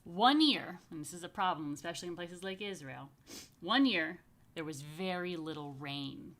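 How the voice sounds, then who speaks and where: A woman reading a picture book to young families as a rabbi, stretching key phrases expressively and slipping into chatty asides. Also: lowish voice. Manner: expressive, slow emphatic storytelling with chatty murmured asides. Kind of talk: children's story read-aloud with casual asides.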